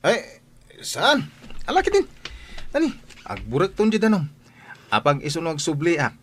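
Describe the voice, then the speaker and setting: A man with an Ilocano accent playing a curious, flustered young man in an audio drama, with a husky voice. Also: a little high, wildly swooping pitch, moderate pace, fairly bright tone, heavily acted. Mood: flustered, smitten. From Philippines.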